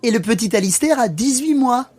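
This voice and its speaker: A man playing a friendly, joking French accountant and father in a learner's radio play, speaking clearly and conversationally. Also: very high voice, lively intonation, brisk, darkish tone.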